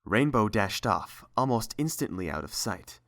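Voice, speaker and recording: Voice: mid-range voice, very animated, normal speed. A narrator reading scripted story prose.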